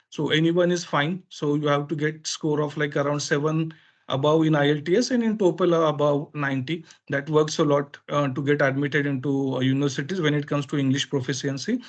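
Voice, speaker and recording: A man with an Indian accent, a native Marathi speaker, explaining things informally as a webinar presenter in halting, filler-heavy phrases. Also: on the high side, steady tone. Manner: halting, hesitant phrasing with frequent filler pauses. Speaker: education consultant presenting a webinar.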